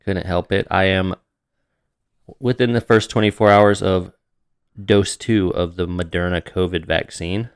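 Tired deep-voiced young man, sniffly. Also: fairly even intonation, a little slow, clean tone, no echo, clean studio sound. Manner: tired, joking. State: sniffly, mouth breathing. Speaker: podcast co-host. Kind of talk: casual chat.

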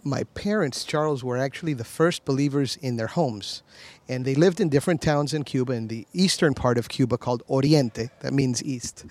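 A man's voice: medium pitch, darkish tone. A man in his fifties from Miami, a pastor telling his story as a podcast guest in an earnest, sincere way. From Miami, USA. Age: 50s. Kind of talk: conversational interview.

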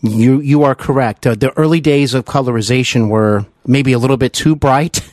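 A man's voice: medium pitch, normal speed, a little mellow, no echo. A man hosting an interview in an enthusiastic, chatty, admiring way. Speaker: interview host.

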